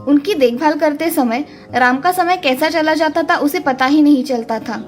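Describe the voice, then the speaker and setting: A woman's voice: high-pitched, moderate pace. A woman reading a scripted children's story as its narrator. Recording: music under the voice.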